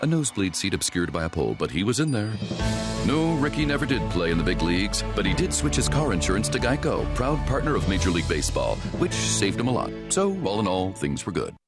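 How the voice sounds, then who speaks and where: Deep-voiced man narrating a deadpan ad. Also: fast, over loud music. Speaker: commercial narrator. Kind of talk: scripted ad storytelling.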